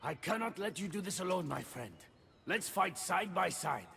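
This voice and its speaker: A man's voice: high-pitched, animated, normal speed, gravelly, pushed and bright, a little hiss or hum, exaggerated voice. An actor playing an anxious, devoted male god in a video game cutscene, speaking in an earnest, theatrical, formal way. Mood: pleading. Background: music.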